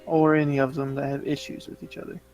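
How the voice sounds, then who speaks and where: A man playing a tabletop roleplaying game, chatting casually in character in a deliberate, understated way. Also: mid-range voice, little pitch movement, darkish tone, soft background music.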